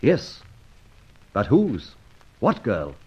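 A man acting a middle-aged gentleman adventurer and former soldier in an old-time radio serial, brisk and musing with clipped exclamations. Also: lowish voice, huge pitch swings, husky, full character voice. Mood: reflective, certain. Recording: muffled, dull old radio recording.

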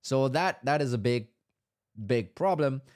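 A man's voice: medium pitch, lively intonation, a little slow, a little mellow. A man hosting a casual business podcast, explaining things at length with many ums and restarts; his first language is Danish. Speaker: podcast host.

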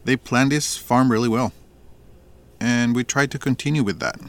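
An actor playing a proud, plainspoken farmer with a teasing streak in a naturalistic audio drama. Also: male voice, mid-range voice, fairly even intonation, normal speed, natural voice. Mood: reflective, earnest.

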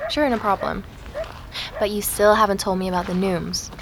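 An actress playing a curious, thoughtful young woman investigator in an audio drama, with a creaky voice and an understated manner. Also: mid-range voice, fairly even intonation, moderate pace, background noise, lightly acted. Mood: curious, intent. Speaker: lead actor and narrator of an audio drama. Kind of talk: audio drama dialogue and first-person narration.

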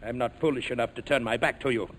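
An actor playing a ruthless, murderous smuggler in a 1940s radio drama, menacing and commanding. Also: male voice, medium pitch, steady tone, fast talker, a bit bright, a little hiss or hum, natural voice. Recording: old radio broadcast with long reverb.

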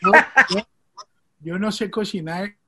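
A man's voice: high-pitched, slow pace, a bit bright, breathy edge. A man, born in Venezuela and raised in Rico, hosting the conversation and telling a story in an earnest, heartfelt way. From Venezuela, raised in Puerto Rico. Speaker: host.

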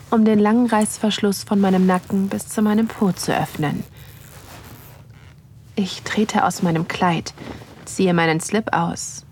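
Actress as aroused excited wife, breathy intimate narration, erotic audio drama, medium pitch, background ambience noise. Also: female voice, close to an everyday voice. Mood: sensual, expectant.

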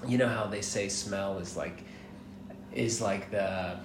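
A relaxed American man in his mid-thirties tells stories in a loose, rambling way and drops the odd swear word. His voice sits fairly low. The room is echoey, with clear background noise under him. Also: slow pace. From United States, born in Miami, raised in Atlanta. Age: 30s. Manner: laid-back, rambling, laughs often, swears casually. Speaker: guest. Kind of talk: casual storytelling interview. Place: hostel.

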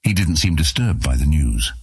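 A man with a deep, husky voice reading scripted fantasy audiobook narration. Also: sing-song, normal speed, a little mellow, dry recording, clean studio sound.

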